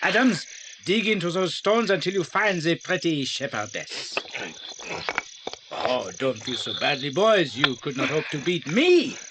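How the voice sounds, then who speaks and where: Suave crook for radio play: high, very animated, gloating, formal; noisy clicking recording. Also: male voice, measured pace. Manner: theatrical, smug, formal phrasing. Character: gloating, suave French art thief, cultured villain. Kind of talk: 1980s British audio drama. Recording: noisy old tape with clicks.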